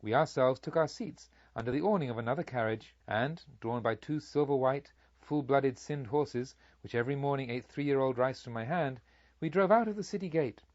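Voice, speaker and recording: A man reading a literary story aloud as an audiobook narrator, in a measured, formal storytelling manner. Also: medium pitch, darkish tone, no room sound, lo-fi sound.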